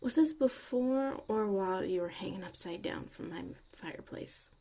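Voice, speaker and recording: A woman's voice: mid-range voice, moderate pace, vocal fry, warm tone. A woman acting a tough but caring witch mother in an ASMR roleplay, muttering and often dropping to a whisper. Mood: teasing, casual.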